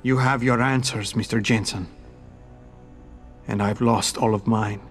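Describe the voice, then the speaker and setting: An actor playing a guilt-ridden, betrayed middle-aged surgeon in a video game, with a formal, anguished delivery. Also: male voice, lowish voice, steady tone, a little slow, soft background music, close to an everyday voice. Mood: despairing. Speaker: former surgeon. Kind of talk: video game cutscene acting.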